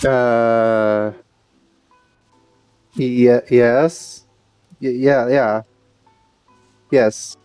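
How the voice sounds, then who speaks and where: Slow-talking, mellow man playing a game. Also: mid-range voice, fairly even intonation, clear, resonant tone, no room sound, soft background music. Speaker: let's player. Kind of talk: casual gameplay commentary.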